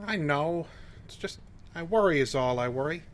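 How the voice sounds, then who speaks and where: A man's voice: a little high, lively intonation, moderate pace, natural voice. An actor playing a simple-hearted, anxious sidekick in a radio play, hesitant, stammering and folksy in his earnest talk. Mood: worried.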